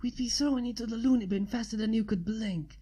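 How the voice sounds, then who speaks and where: A woman's voice: on the high side, brisk, dark tone, slightly rough. A woman voicing a stressed, urgent woman writer with a secret in a story reading. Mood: urgent hissing. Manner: urgent, hissing and pleading.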